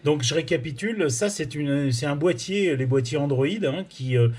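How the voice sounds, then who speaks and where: A man's voice: a little high, animated, moderate pace, a little mellow, breathy edge. A man doing a casual technical interview, explaining and recapping as he goes. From France. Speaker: interviewer, amateur radio tutorial host. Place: indoors at a radio amateur's home.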